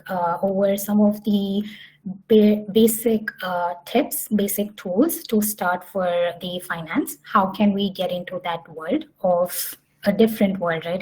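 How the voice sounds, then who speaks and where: Young woman presenter, mellow tone, halting delivery with restarts. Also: medium pitch, rough recording. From Hyderabad, India. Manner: hesitant, many fillers and restarts. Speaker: summit speaker. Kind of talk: online conference presentation.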